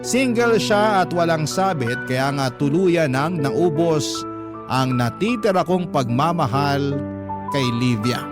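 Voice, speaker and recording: A troubled, guilt-torn man narrates in the first person for a radio love drama. His fairly high voice is earnest and confessional. Loud music plays under every word. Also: expressive, slow.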